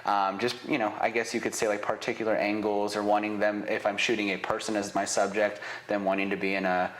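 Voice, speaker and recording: A man in his twenties who grew up in Israel and speaks Hebrew as his first language, answering interview questions in an earnest way, with fillers and restarts. His voice sits low. The studio gives it a roomy echo. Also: little pitch movement, fairly quick, fairly bright tone. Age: 20s. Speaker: business owner, guest. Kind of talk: casual interview.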